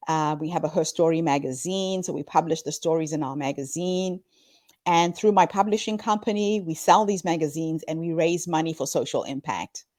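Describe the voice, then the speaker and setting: A woman in her fifties from Zimbabwe speaks with a lowish voice, earnest and upbeat as she answers interview questions. The sound is dry and close, with no room around it. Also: moderate pace, no room sound. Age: 50s. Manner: earnest, upbeat storytelling with warm laughs. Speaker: guest, founder of a women's story platform.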